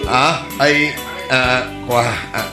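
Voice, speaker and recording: A man's voice: mid-range voice, fairly even intonation, slow pace, natural voice. A man with an Ilocano accent playing a hapless, greedy, defensive grown son in a comedy radio drama. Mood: flustered. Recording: roomy echo, faint music.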